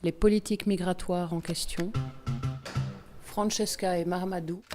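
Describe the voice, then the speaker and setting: A woman's voice: on the low side, fairly even intonation, a little slow. A woman reading a scripted title announcement as an announcer, with plucked guitar music behind her.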